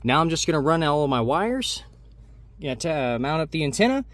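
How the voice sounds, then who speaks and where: Higher-pitched young American man, instructional. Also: big pitch swings, normal speed, fairly bright tone, no room sound. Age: young adult. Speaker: host of a car DIY channel. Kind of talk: instructional how-to narration. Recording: clear background noise, clicks and jangling.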